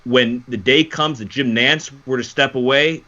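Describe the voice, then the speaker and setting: Casting: male sports co-host, mid-pitched forceful voice, emphatic and fluent explainer, on a somewhat muffled recording. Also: moderate pace. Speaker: sports talk show co-host and broadcaster. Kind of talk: sports commentary talk.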